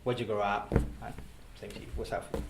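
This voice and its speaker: A man hosting an interview podcast in a meeting room, upbeat and quick to chime in with affirmations. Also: lowish voice, flat delivery, vocal fry, reverberant, slight background noise. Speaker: podcast host. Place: meeting room at a round table.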